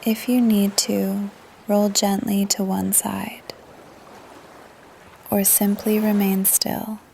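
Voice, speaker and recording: A woman leading a guided meditation in a soft, calm, breathy voice that often trails into a whisper, with gentle pauses. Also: medium pitch, slight background noise. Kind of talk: guided meditation, yoga nidra narration. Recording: ocean surf under the voice. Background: ocean waves ambience.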